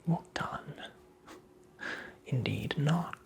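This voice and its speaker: A performer voicing a creepy, vain little male imp in a whispered ASMR fairy-tale roleplay, teasing and condescending with drawn-out phrasing. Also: medium pitch, lively intonation, full character voice. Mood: taunting, vain. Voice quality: breathy whisper.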